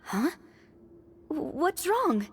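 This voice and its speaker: A woman voicing a small, excitable, childlike fairy companion in a video game, exclamatory and expressive. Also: sits high, extremely slow, faint music behind, exaggerated voice. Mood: anxious. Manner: exclamatory, gasping, refers to self in third person.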